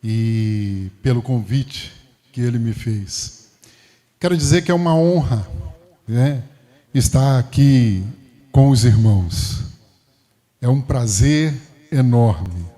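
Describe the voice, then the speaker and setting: A man's voice: medium pitch, slow, pauses often, warm tone, big-room sound. A middle-aged Brazilian man from Rio de Janeiro preaching as a guest pastor in a conversational way, often adding short tags that seek agreement. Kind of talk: church sermon with personal greetings.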